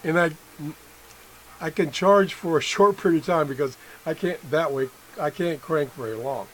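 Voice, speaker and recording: A middle-aged man giving an unscripted hands-on demonstration as an amateur tester, halting and correcting himself as he thinks aloud. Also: fairly high pitch, fairly bright tone. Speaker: amateur product tester and video host. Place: indoor room at a workbench. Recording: noisy room with background sound.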